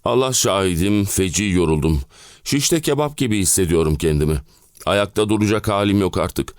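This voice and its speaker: A man's voice: low-pitched, lively intonation, normal speed, smooth, no room sound, clean studio sound. An actor playing an exhausted middle-aged family man and clerk, complaining theatrically in an audio play. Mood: weary, pleading. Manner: dramatic, complaining, rhetorical questions. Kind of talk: theatrical audio drama monologue.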